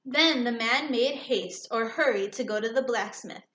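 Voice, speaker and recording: Woman teacher, fairly high voice, instructive read-aloud for children, simple explanations, frequent questions, roomy echo, faint noise. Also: moderate pace, a bit bright. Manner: instructive, checks understanding often. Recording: echoey room with faint noise.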